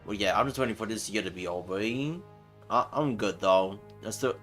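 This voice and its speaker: A man's voice: fairly low pitch, normal speed, faint music behind. A man livestreaming a game, chatting casually with chilled, muttered asides. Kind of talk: casual gameplay chat.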